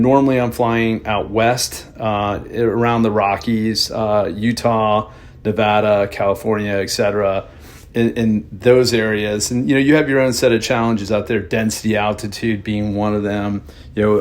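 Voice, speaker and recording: Male pilot, lower-pitched, casual video recap, halting delivery. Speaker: pilot presenting his flight video.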